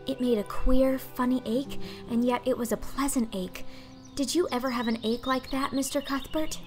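A woman's voice: sits high, fairly even intonation, moderate pace, over music, voice pushed up, lightly acted. A woman voicing a dreamy, talkative, imaginative orphan girl in an audiobook, breathless and earnest. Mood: enraptured, chatty.

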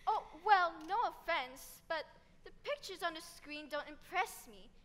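A woman's voice: very high-pitched, expressive, measured pace, fairly bright tone, big-room sound, exaggerated voice. A young woman on a school stage playing a proud, prim aspiring actress. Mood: indignant. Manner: indignant and prim. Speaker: student actor. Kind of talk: school stage musical acting. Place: large school theatre stage.

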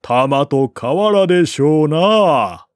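A man voicing a blunt, unruffled, aloof strategist in an audiobook, gruff and curt with drawn-out words. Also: on the high side, animated, dry recording, clean studio sound, pitched above the performer's own voice. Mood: deadpan, blunt. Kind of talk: audiobook character voice.

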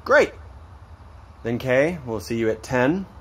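A man's voice: mid-range voice, expressive, takes it slowly. A man playing a cocky, teasing American teenage boy in a naturalistic audio drama, with sarcastic banter. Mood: taking charge. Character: cocky, teasing, foul-mouthed teenage boy, loyal boyfriend. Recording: clear background ambient noise.

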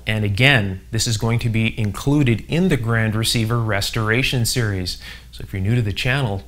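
A man's voice: mid-range voice, a bit bright. A middle-aged man hosting an informal workshop video, explaining things in an enthusiastic, conversational way. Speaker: host of an electronics restoration channel. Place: indoor electronics workshop.

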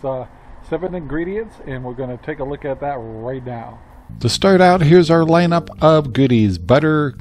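A man's voice: medium pitch, a little mellow. Folksy older man hosting a cooking show. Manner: folksy, chatty, lots of filler "uh". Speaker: cooking channel host. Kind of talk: casual cooking voice-over.

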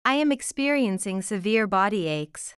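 A woman reading an English lesson, saying example phrases slowly and clearly. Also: mid-range voice, animated, no echo, studio quality. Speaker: English teacher. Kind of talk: scripted lesson narration.

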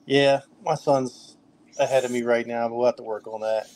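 A man's voice: mid-range voice, fairly even intonation, normal speed, a little mellow, low-quality recording. A middle-aged man from the Mid-US, chatting casually as a guest on a podcast, with loose talk full of fillers and restarts. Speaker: kayak fishing trail director.

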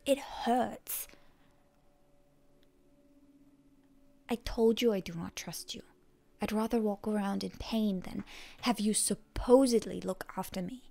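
Woman acting a breathy, proud, defiant young heroine. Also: mid-range voice, dark tone. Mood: scornful, hurt. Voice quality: breathy, often whispery. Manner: indignant, halting, tsundere bluster. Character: proud, stubborn wounded young heroine, defiant toward her enemy. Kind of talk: roleplay ASMR acting, close and breathy.

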